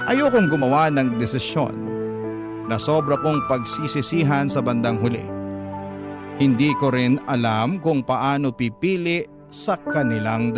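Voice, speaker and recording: A man with a Filipino accent reading a listener's letter dramatically as a radio host. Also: on the high side. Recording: loud music bed under narration.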